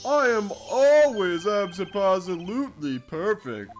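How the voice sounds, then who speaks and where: A man's voice: high-pitched, lively intonation, slow, soft background music. A man voicing a pompous, smug company president who mangles big words in an amateur game fandub. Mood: smug, condescending. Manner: pompous, affected, stretching out invented fancy words.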